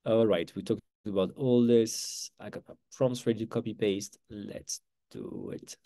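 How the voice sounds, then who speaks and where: A man in his forties with a French accent, hosting a live online workshop, chatty and quick, correcting himself as he goes. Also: fairly low pitch, creaky, warm tone. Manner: fast, chatty, self-correcting, with quick laughs. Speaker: workshop host.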